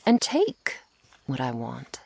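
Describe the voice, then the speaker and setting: A woman playing a teasing, menacing century-old female vampire in an intimate ASMR roleplay, sardonic and unhurried, sometimes slipping toward a whisper. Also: extremely low pitch, huge pitch swings, creaky, breathy edge, exaggerated voice, rough recording. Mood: teasing menace.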